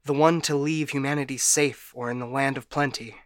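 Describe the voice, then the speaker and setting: A man's voice: mid-range voice, steady tone, a little fast, no room sound, studio quality, lightly acted. A man playing a broken, paranoid ship AI in a science fiction audio drama, stammering and getting stuck repeating words. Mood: halting, scheming. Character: frightened, broken male ship AI, paranoid and unstable.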